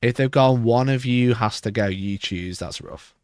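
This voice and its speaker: A man with a rough, hoarse voice, chatting casually on a livestream and rambling with frequent restarts. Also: medium pitch, moderate pace, a little mellow, no room sound, clean studio sound. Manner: rambling, restarts mid-sentence. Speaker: livestream host.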